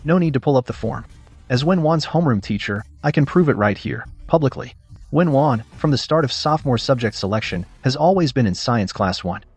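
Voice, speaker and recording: A stern middle-aged teacher in a web drama. He has a medium-pitched voice and speaks with formal, firm authority. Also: expressive, fairly quick, a little mellow, breathy edge, soft background music. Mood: firm, official. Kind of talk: dubbed Chinese web drama acting in English.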